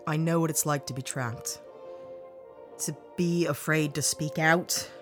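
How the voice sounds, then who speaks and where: A woman's voice: low voice, fairly even intonation, unhurried, music in the background. A woman voicing a determined, curious young investigator in an audio drama, earnest and questioning. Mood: reflective.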